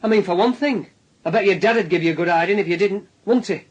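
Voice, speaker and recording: Husky, needling police detective. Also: male voice, high-pitched, quick pace, highs cut off. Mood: sardonic, taunting. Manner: sardonic, taunting, leading questions. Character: insinuating police detective, needling a young suspect. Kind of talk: radio drama acting.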